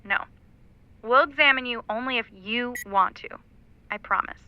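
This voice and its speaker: A woman's voice: a little high, moderate pace, clean tone, lo-fi sound, natural voice. A woman playing a caring, protective, reassuring character in a cyberpunk audio drama. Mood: relieved, excited. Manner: reassuring, earnest.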